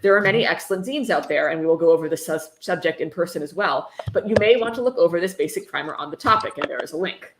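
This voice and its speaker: A woman's voice: on the low side, lively intonation, fast, few pauses. A woman hosting a casual stream, reading aloud and adding sarcastic, mock-dramatic commentary. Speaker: host reading a book aloud on a fundraising stream. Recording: roomy echo, faint noise.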